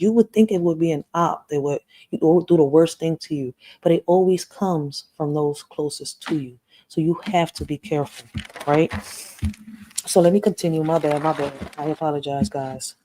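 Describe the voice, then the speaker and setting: A woman's voice: deep voice, steady tone, normal speed, dark tone. A woman from Puerto Rico talking straight to her viewers about parenting and family, emphatic, checking in often with "right?" and "you know". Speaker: parenting vlogger. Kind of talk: casual personal monologue to viewers.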